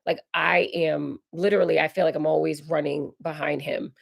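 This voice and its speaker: Raspy lady in her 40s still getting over being sick, low gravelly voice, chatty live q&a. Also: little pitch movement, normal speed. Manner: chatty, reads viewer comments aloud and answers warmly. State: hoarse, recovering from illness. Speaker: decor channel host. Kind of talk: live stream Q&A chat.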